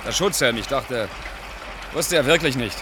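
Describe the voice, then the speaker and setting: Actor as a gruff truck driver, noisy street scene. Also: male voice, medium pitch, lively intonation, normal speed, close to an everyday voice. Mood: embarrassed, apologetic. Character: gruff, hot-tempered male truck driver, blunt and awkward. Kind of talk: radio play acting. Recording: noisy outdoor scene with vehicle and water sounds.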